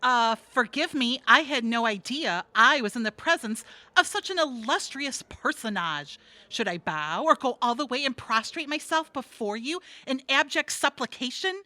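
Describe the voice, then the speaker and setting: Brassy, sarcastic streetwalker, high-pitched. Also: female voice, expressive, moderate pace, bright, forceful tone, dry recording. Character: brassy, sarcastic streetwise woman prostitute, jaded jail regular. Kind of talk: audio theatre comedy acting.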